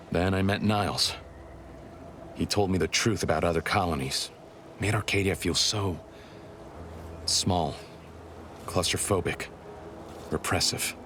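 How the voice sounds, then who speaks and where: An actor playing a grave, guarded rebel spymaster in a video game, speaking in a formal, articulate way. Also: male voice, low-pitched, background noise, close to an everyday voice. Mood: somber, reflective. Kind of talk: dramatic video game dialogue.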